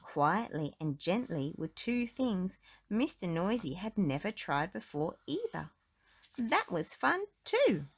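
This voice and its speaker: Casting: female children's story narrator, expressive and very unhurried, with animated intonation. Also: mid-range voice. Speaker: storybook reader.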